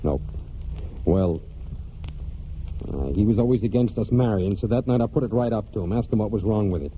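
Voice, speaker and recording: An actor in a 1940s American radio drama playing a brooding young farm man and war veteran, speaking plainly and haltingly in rustic phrases. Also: fairly low pitch, slight background noise, close to an everyday voice. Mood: hurt, defensive. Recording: muffled 1940s radio broadcast with music.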